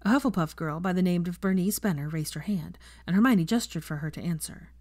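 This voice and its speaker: A female audiobook narrator reads scripted fiction in a slightly low voice. The sound is close and dry, with little trace of the room. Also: brisk, a little mellow, no echo.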